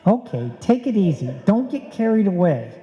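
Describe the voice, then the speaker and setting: An actor playing a wry, exasperated, penny-pinching husband in a live old-time radio comedy. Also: male voice, high-pitched, very animated, normal speed, crowd noise. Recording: dull, echoey hall stage recording.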